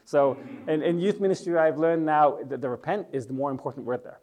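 A man's voice: fairly high pitch, fairly quick, a little mellow. Chatty English man teaching a session. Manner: chatty, joking, quizzes the audience. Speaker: youth worker and church leader.

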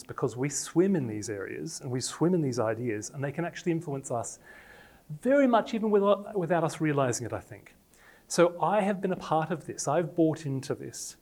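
A man in his forties from Australia, with an accent mixing Australian and English traces, preaching a personal sermon with animated, self-deprecating storytelling. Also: a little high. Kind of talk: personal sermon talk to a congregation.

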